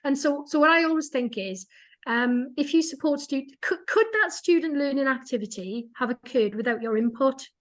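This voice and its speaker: A woman's voice: high voice, normal speed. A woman speaking in a webinar, explaining with enthusiasm and frequent restarts and repeated words. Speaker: guest speaker. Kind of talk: webinar talk.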